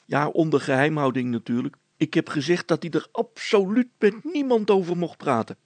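A man's voice: fairly high pitch, lively intonation, moderate pace, dry recording, voice pushed up. A man voicing a sly, cheerful village poacher, chatty, folksy and mischievous in storybook dialogue.

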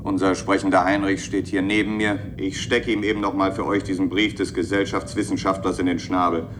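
A formal, commanding chairman played by a man speaks in a lowish voice, as in a science-fiction radio drama. The recording carries clear noise. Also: level tone, fairly quick, close to an everyday voice. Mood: formal, composed. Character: authoritative male chairman in a science-fiction story. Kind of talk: German radio play acting.

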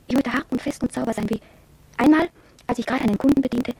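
Casting: opinionated young shopgirl, very husky and mellow, confiding radio play monologue. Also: high voice, fast, dry recording, full character voice. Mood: confiding, defiant. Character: headstrong, proud young shop apprentice girl, cool and yearning. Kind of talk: radio drama first-person monologue.

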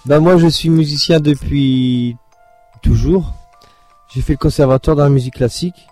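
Man with a Belgian French accent, rambling and repetitive, warm darker tone, faint music behind. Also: on the high side, normal speed, no room sound. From France. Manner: rambling, repeats himself. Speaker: composer of a duo. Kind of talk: casual interview answers.

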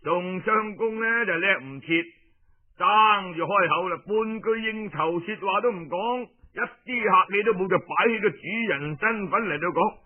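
A man tells historical tales as a traditional oral storyteller, colloquial and theatrical, drawing out his phrase endings with pauses. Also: sits high, phone-quality sound.

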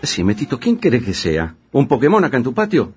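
An actor with a Buenos Aires accent playing a pushy, blustering amateur actor in a radio comedy, husky and rambling. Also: male voice, medium pitch, fairly quick, slight background noise. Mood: exasperated, nagging. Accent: Buenos Aires Argentine. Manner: stammering, rambling, excitable. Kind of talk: comic radio theatre dialogue.

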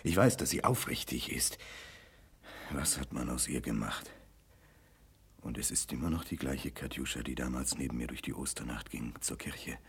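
Very deep anguished, lovelorn man. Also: normal speed, slightly rough, exaggerated voice. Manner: fervent, lyrical, reminiscing. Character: anguished man remembering his lost love tenderly. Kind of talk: intimate German radio drama monologue. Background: music.

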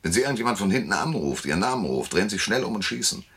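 An actor playing a world-weary, laconic private detective who narrates in a dry, sardonic, gravelly way in a German noir radio drama. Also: male voice, lowish voice, sing-song, very fast, a bit bright, no echo, full character voice. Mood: curt, commanding.

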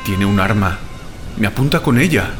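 An actor as an agitated brother from Spain, over music. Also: male voice, on the low side, lively intonation, normal speed, natural voice. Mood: alarmed, defiant. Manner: agitated, incredulous, sarcastic. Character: alarmed, defiant, sarcastic younger-generation brother, bewildered and foul-mouthed. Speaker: actor in an audio drama. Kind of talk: Spanish audio fiction acting with inner narration. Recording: dramatic music bed under the voice.

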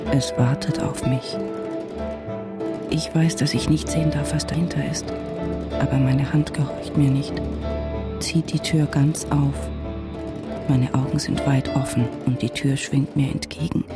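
An actress playing a brooding thirty-seven-year-old wife and mother in a radio drama, narrating her thoughts in a hushed, deliberate way. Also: very deep voice, fairly even intonation, over loud music. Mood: tense, fearful. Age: 30s. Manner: hushed, deliberate, short sentences, sometimes near whisper. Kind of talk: literary radio drama monologue, first person.

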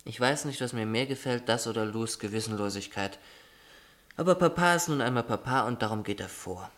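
Young elder brother male actor: medium-pitched, chatty, teasing and fond, radio play delivery. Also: brisk, a bit bright, natural voice. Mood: wry, reading aloud. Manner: playful, wry, chatty. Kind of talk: German radio play acting.

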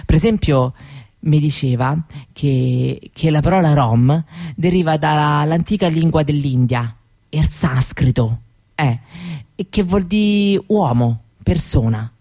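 A woman playing a candid, proud seventeen-year-old Roma girl in a radio monologue, with a Roman Italian accent and halting colloquial talk that often seeks agreement. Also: low voice, warm tone, full character voice. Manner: colloquial, halting, seeking agreement with little tag questions. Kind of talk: conversational theatrical monologue for radio.

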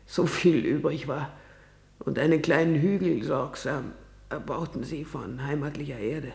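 A gravelly solemn messenger. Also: male voice, sits high. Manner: solemn, measured recitation, shifting to hushed whispers. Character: grave messenger reporting deaths. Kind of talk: classical verse drama radio play.

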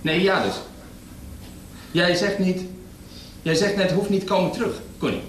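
A man's voice: high-pitched, unhurried. An actor playing an injured 37-year-old businessman stuck on the floor, talking in a clipped, indignant, bossy way. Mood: suspicious. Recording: roomy with faint noise.

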